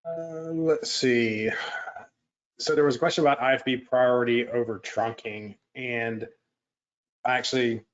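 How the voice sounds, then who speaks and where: A man's voice: medium pitch, steady tone, a little slow, muffled recording. A man co-presenting a live technical webinar, answering questions in a casual, careful and somewhat tentative way. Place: livestreamed online session.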